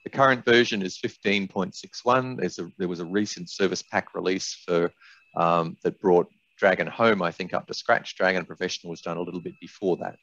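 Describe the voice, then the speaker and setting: A man's voice: low voice, steady tone, brisk, a bit bright. An older man gives an explanatory webinar presentation in an informal, candid way. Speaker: software company presenter. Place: indoors, small room on video call. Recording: slightly dull, roomy webinar audio.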